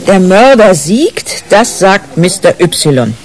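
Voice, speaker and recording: An actress playing a brisk, scheming female investigator in a 1980s radio crime drama, commanding and sly in manner. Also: mid-range voice, sing-song, darkish tone, faint music behind. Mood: sly, pleased.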